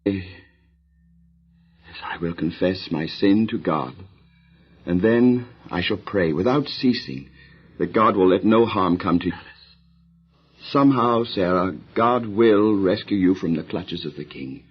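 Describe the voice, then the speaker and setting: An actor playing a solemn, earnest Hebrew patriarch and husband in a Bible audio drama, speaking in a grave, pious, measured way. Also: male voice, deep voice, slightly rough, exaggerated voice. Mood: remorseful, devout. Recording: dull lo-fi radio drama recording.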